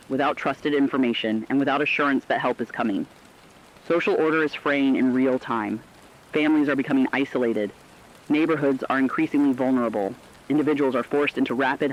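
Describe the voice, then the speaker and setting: A man playing a sober, urgent radio correspondent, delivering a formal, deliberate scripted report on societal collapse. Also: medium pitch, lightly acted. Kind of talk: scripted dramatic news report. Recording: dull lo-fi radio sound.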